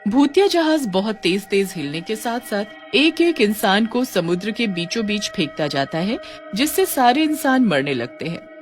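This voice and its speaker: A woman's voice: medium pitch, very animated, normal speed, hardly any pauses, faint music behind. A woman narrating a scripted cartoon story.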